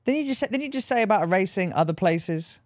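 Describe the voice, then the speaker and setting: A man's voice: high voice, animated, quick pace, no room sound, clean studio sound. A man in casual podcast chat, teasing and joking with his co-host. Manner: joking, teasing, laughs often. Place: podcast studio.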